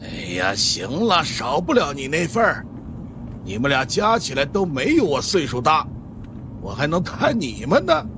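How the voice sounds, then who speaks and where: A man's voice: on the high side, huge pitch swings, moderate pace, noisy background, voice pushed up. A man voicing a sly, boastful old Taoist monk with a smug, teasing drawl, in an audiobook. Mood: grumpy, dismissive. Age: older. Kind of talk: audiobook character acting.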